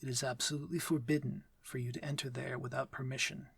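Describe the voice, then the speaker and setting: A man playing a courteous, commanding vampire lord in an intimate ASMR roleplay, speaking formally in a measured, breathy, near-whispered voice. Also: mid-range voice, flat delivery. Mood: calm, instructive. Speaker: audio roleplay voice actor.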